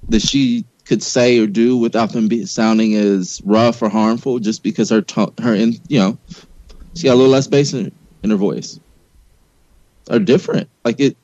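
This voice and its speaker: A man's voice: mid-range voice, darkish tone, dry recording, muffled recording. A man talking in a loose, casual way as a guest on a podcast discussion.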